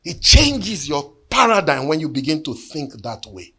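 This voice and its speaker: A man, preaching a sermon and telling a personal testimony in an earnest storytelling way that often sinks to hushed tones. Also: a little high, big pitch swings, moderate pace, breathy edge. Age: 50s. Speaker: bishop.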